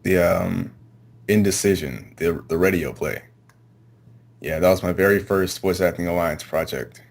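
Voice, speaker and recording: A man with a low-pitched voice gives casual interview answers. He is low-key and a little hesitant, feeling his way through each reply. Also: measured pace, vocal fry. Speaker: amateur voice actor, interview guest.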